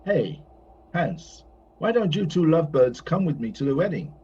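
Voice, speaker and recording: A man's voice: fairly high pitch, sing-song, normal speed, mellow. A man playing a chatty, self-satisfied old master painter in an audio play, given to grumbling. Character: old Flemish master painter, jovial, fussy, easily irritated. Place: recorded at home during lockdown. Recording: muffled, noisy home recording.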